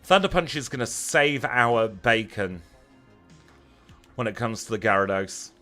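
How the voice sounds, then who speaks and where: A man's voice: fairly low pitch, expressive, measured pace, pushed and bright, faint music behind. A man with a Lancashire accent chatting casually as he streams a video game, wry and self-deprecating. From Lancashire, northern England. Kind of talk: casual livestream chat over gameplay.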